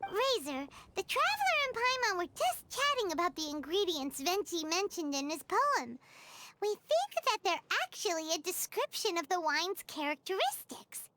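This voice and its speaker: A woman voicing a tiny, cheeky, chatty fairy companion in a video game, with a squeaky childlike voice. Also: sing-song, normal speed, bright, forceful tone, slight background noise, exaggerated voice. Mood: cheerful, caring. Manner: chatty, refers to self in third person.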